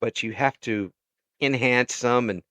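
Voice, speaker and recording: A man chatting casually as an interviewer, halting and given to chuckling as he talks. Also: medium pitch, sharp tone, no echo.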